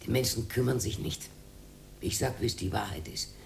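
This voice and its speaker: A woman's voice: rumbling low, moderate pace, gravelly, in a whisper, full character voice. An actress playing a lonely, stubborn older working-class woman with an Austrian accent, speaking plainly in a radio drama. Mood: resigned. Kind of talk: radio drama acting, colloquial.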